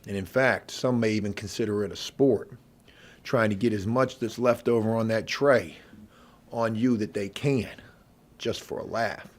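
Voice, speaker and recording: A man playing a middle-aged veteran inmate who bosses the prison kitchen, in an ASMR role play with a gravelly, husky voice and a folksy, intimidating manner. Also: lowish voice, fairly even intonation, natural voice. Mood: menacing. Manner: folksy, intimidating, drawn-out menacing delivery. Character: gruff, bossy veteran male prison inmate running the kitchen.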